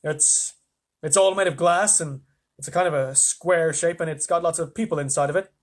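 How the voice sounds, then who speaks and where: A man voicing a flustered, excitable storybook astronaut with an urgent, insistent manner. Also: fairly high pitch, normal speed, voice pushed up, natural voice. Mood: bewildered. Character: flustered, excitable male astronaut Shuckworth alerting mission control.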